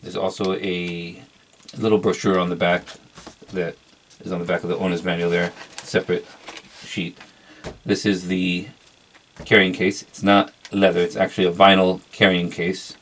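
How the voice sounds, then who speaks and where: A man giving a casual product review in an unhurried, halting show-and-tell way. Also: sits low, little pitch movement, a bit bright, a little hiss or hum.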